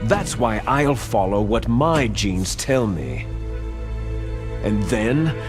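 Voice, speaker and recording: An actor playing a cold, scheming male villain who lectures slowly and menacingly in a video game cutscene. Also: fairly low pitch, expressive. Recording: game audio with music behind.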